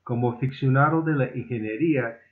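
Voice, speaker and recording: A man's voice: medium pitch, brisk. Non-native man reading through a phone-like line. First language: not Spanish (Spanish is his second language). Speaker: amateur inventor presenting his own proposal. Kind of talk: scripted formal lecture, read aloud. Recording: muffled, phone-like narrow band.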